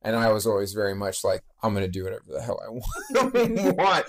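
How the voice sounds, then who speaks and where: A man's voice: fairly low pitch, wildly swooping pitch, a little fast. Talkative man in his late thirties. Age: 30s. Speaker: podcast guest, bookstore co-owner. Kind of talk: casual interview chat.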